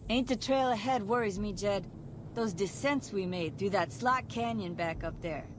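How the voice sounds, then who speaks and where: A woman's voice: medium pitch, moderate pace, close to an everyday voice. A woman with a voicing a blunt, skeptical caravan traveler in a video game. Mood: worried, sardonic. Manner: blunt, folksy, sarcastic. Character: skeptical, sardonic female caravan traveler on the trail. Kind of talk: video game character dialogue. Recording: noisy outdoor game ambience behind.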